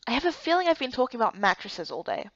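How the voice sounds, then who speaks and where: A woman's voice: fairly high pitch, wildly swooping pitch, quick pace, no room sound, lo-fi sound. A woman reading scripted first-person narration as the voice-over for a visual novel game.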